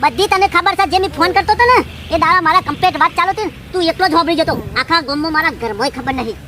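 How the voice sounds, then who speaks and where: Man as squeaky, aggrieved would-be village gangster. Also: very fast. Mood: indignant, scolding. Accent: rural North Gujarati. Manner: complaining, scolding. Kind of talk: cartoon comedy dialogue.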